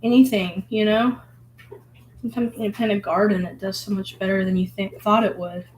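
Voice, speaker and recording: Hoarse woman musing while painting. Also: medium pitch, fairly even intonation, brisk, poor audio. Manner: rambling, filler-heavy, musing. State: hoarse from illness. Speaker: vlogger painting. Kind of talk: casual reflective monologue.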